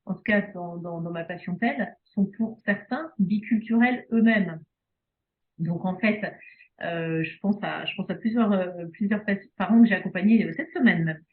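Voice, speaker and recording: Woman speech therapist chatting, lots of euh and restarts, sounds like a thin phone line. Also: mid-range voice. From Belgium. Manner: hesitant, many fillers and restarts. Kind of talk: casual interview chat. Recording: phone-like video call audio.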